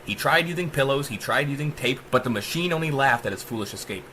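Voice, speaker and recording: A man narrating a dark rhyming story as a dramatic audiobook, with theatrical, emphatic rhythm. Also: medium pitch, expressive, fast, a little hiss or hum.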